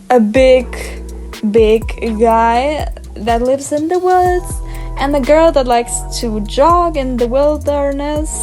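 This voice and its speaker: Young German-accented woman, high and bright, rambling casual vlog voice-over over light music. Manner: bubbly, rambling, giggly. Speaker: art vlogger.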